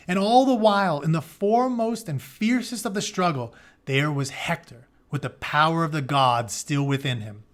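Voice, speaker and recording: A man with a somewhat high-pitched voice works as a teacher reading aloud. His storytelling is animated and dramatic, mixed with informal, colloquial explanations for his students. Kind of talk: dramatic read-aloud with casual explanatory asides.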